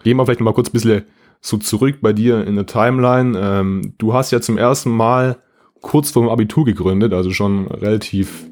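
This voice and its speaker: Man, podcast host, slight cold, lower voice, relaxed chatty questions. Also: brisk. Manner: relaxed, uses fillers. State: has a cold. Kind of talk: casual interview hosting.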